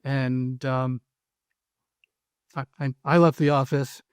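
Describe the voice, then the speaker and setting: A man, a former prosecutor, answering interview questions in a careful, measured way with frequent "um"s. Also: mid-range voice, flat delivery, clear, resonant tone, no room sound.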